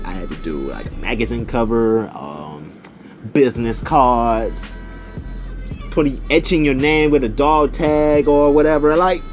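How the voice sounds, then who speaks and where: A young man tells a story in a loose, slangy, conversational way. His mid-range voice rises and dips in an animated fashion as he speaks straight to his listeners. Music plays faintly behind him. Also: mid-range voice. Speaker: YouTube storyteller. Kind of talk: casual storytime chat.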